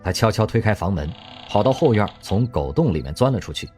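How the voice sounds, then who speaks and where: A man narrating an audio drama in an expressive storytelling manner. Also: fairly low pitch, fairly quick, faint music behind.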